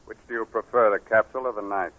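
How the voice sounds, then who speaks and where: An actor playing a cold, calm killer in a 1940s radio thriller, with a slow, deliberate, threatening delivery. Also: male voice, lowish voice, natural voice. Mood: controlled threat. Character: cold, menacing male killer, calm and controlling. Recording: muffled, noisy 1940s radio recording.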